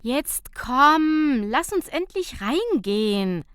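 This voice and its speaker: Woman acting a high-pitched, bubbly, teasing female friend. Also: very animated, slow pace, fairly bright tone, no echo, studio quality, exaggerated voice. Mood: urging. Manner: exclaiming, teasing, laughing. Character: cheerful, impatient woman, playful laughing friend.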